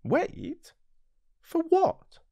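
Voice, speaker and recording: A small, wistful boy prince from a storybook as a British man acts him out. The voice is lifted high and swings with curiosity. He asks his questions gently and earnestly, taking his time. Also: huge pitch swings, extremely slow, gravelly, dry recording, clean studio sound, full character voice. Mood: puzzled. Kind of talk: storybook character voice.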